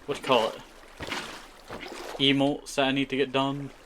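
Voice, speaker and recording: A man's voice: medium pitch, completely flat delivery, normal speed, a bit bright, slight background noise. A man who is a native Scottish Gaelic speaker from Scotland, giving chatty, rambling live gaming commentary and often repeating short phrases. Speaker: streamer.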